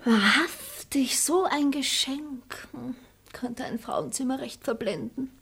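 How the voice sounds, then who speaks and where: A coquettish maid in a radio play, mocking her suitors and coaxing gifts out of them. Her voice sits fairly high with a husky edge. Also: expressive, slow pace, fairly bright tone, heavily acted. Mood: pert. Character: sharp-tongued young maid, coquettish and shrewd.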